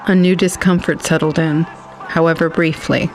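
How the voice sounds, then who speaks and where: Male narrator, slightly high voice, very level intonation, scripted storytelling. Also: level tone, moderate pace, poor audio. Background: faint music.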